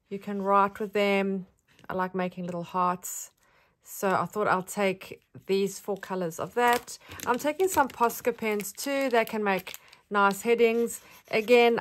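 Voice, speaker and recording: A woman's voice: medium pitch, a little hiss or hum. Chatty South African woman crafter. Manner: chatty, friendly, rambling with fillers. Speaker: craft vlogger. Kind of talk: casual show-and-tell.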